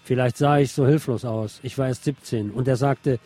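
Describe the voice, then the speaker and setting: A man's voice: medium pitch, fairly even intonation, moderate pace, dark tone, faint music behind. A man presenting a radio magazine, reading scripted news commentary aloud.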